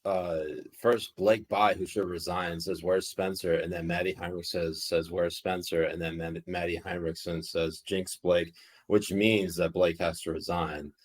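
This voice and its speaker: Man, very deep voice, casual podcast co-host, rambling, swears, jokey. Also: level tone, unhurried. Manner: rambling, profane, joking. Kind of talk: casual news chat podcast.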